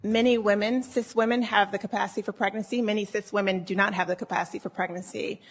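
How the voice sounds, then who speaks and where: A woman testifying at a hearing, answering questions in a measured, precise way. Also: medium pitch, steady tone, husky. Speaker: witness at a hearing.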